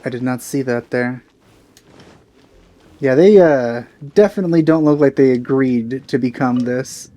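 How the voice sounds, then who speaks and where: A man hosting a let's play, giving casual, low-key, musing commentary on a game. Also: unhurried, dark tone.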